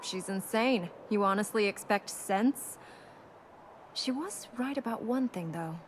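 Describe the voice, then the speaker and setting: An actress playing a serious, determined young princess in a video game, earnest and dryly sharp-tongued. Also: female voice, mid-range voice, lively intonation, unhurried, slight background noise, natural voice. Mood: stern, dry. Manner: earnest, dry, blunt.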